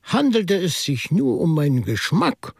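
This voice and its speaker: An actor playing a gentle, musing priest in a radio drama, speaking in a wistful, reflective, deliberate way. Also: male voice, sits high, huge pitch swings, vocal fry, slightly rough, no room sound, studio quality, exaggerated voice. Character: gentle, musing priest who mourns finished buildings.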